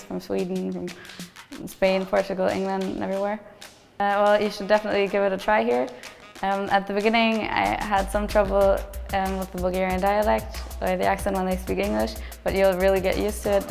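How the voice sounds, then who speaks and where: Young female student, gravelly, flat tone, reverby hall. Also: medium pitch. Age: young adult. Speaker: medical student. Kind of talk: interview testimonial. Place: indoor hallway.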